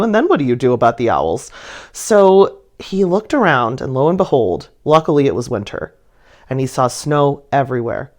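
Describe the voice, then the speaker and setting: A woman's voice: mid-range voice, darkish tone. A woman telling stories and chatting casually to viewers in a playful, gushing way, often with a giggle in her words. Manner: giggly, laughs through sentences. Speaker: artist presenting own painting video. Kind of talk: casual storytelling chat, voice-over to viewers.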